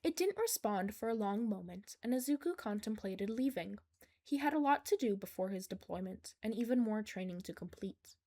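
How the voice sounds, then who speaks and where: A woman's voice: mid-range voice, lively intonation, moderate pace, dark tone. A woman reading fan fiction aloud as a scripted story narration.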